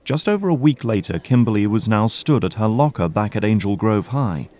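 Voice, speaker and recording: A man's voice: on the low side, lively intonation, a little fast, dark tone. A man narrating a scripted audio drama as storyteller.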